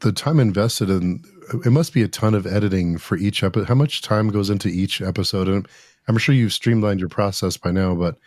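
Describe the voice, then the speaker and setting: A man with a deep, mellow voice, recorded dry. He asks questions casually as a podcast interviewer. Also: brisk, no room sound.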